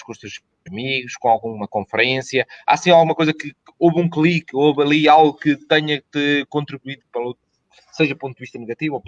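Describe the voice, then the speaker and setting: A man's voice: mid-range voice, no echo. A Portuguese man with a European Portuguese accent hosts a live chat over a video call. His questions run long and wander, restarting as he goes. The sound is dry and muffled, with no room around it. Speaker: host, interviewer. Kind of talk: live online interview.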